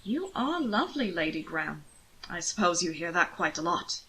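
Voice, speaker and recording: A woman voicing an amused, rakish young aristocratic gentleman in an audiobook, with a suave, drawling, teasing manner. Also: lowish voice, very animated, moderate pace, breathy edge, heavily acted, low-quality recording. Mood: teasing, probing. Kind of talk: audiobook character voice.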